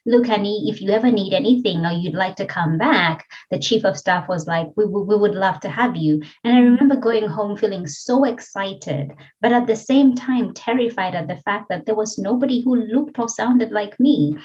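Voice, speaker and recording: A woman's voice: mid-range voice, normal speed, hardly any pauses, a little mellow, dull audio. A middle-aged woman from Kenya with a Kenyan accent, a podcast guest telling her story earnestly and reflectively, with many fillers. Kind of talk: interview conversation.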